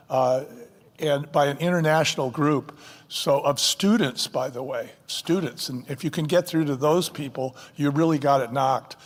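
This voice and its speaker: A man's voice: fairly high pitch, in a large hall. An older man, a company co-founder, speaking emphatically in an interview like a seasoned salesman. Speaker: co-founder guest. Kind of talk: interview, persuasive pitch.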